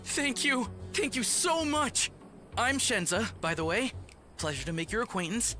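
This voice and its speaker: An actor playing an earnest, polite and effusive railway worker in a video game cutscene. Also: male voice, very high-pitched, sing-song, unhurried, pushed and bright, faint music behind, exaggerated voice. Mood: grateful, eager. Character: earnest, eager male railway worker, overworked and grateful.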